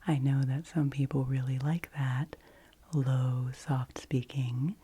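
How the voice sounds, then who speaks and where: A woman hosting an ASMR channel, rambling in a deep, low, soft-spoken voice that keeps dropping into whispers, close to the microphone. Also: steady tone, slow pace. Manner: alternates soft low speaking with breathy whispers.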